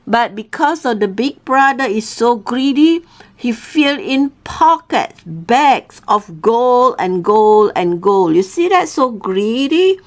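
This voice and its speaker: Female storyteller-teacher, non-native English, slow and highly animated, repeats simple phrases and keeps prompting listeners. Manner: checks in often with questions and tags. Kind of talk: animated storytelling lesson for learners.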